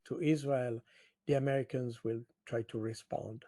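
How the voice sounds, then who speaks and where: A man speaking as an interview guest, giving spontaneous political analysis in halting, deliberate phrases with pauses. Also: medium pitch, steady tone, a crowd in the background.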